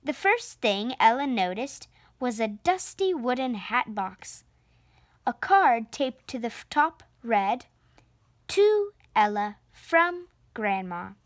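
A woman reading a children's storybook aloud in an expressive, deliberate narrating style. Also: on the high side, no echo, studio quality. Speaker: storybook reader.

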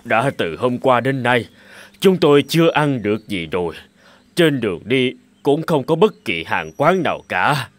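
An actor playing a weary refugee father in a cartoon folk tale, polite and measured. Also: medium pitch, sing-song, dry recording. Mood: weary, pleading.

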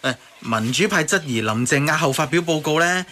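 Bright-voiced contrary man. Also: a little high, animated, fairly quick, a little hiss or hum. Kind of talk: radio drama dialogue.

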